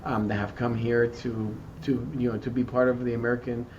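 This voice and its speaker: A man from South Texas answering interview questions thoughtfully, often repeating words and pausing with "um" as he searches for the right term. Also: mid-range voice, level tone. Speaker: school district equity chief. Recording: muffled, distant, faint background noise.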